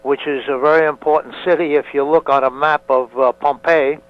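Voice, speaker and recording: An elderly man in his nineties answers slowly, stopping often with fillers and restarts. His first language is Italian and he is hard of hearing. The recording is dull and muffled. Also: a little high, steady tone. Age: 90s. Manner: slow, halting, many fillers and restarts. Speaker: museum founder. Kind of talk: interview answers.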